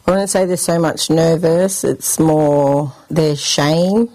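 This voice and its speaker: A woman's voice: low voice, slow pace, dark tone, dry recording, low-quality recording. A woman from Sydney with an Australian accent, answering as an outreach officer in colloquial, conversational language. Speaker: outreach officer, interview guest.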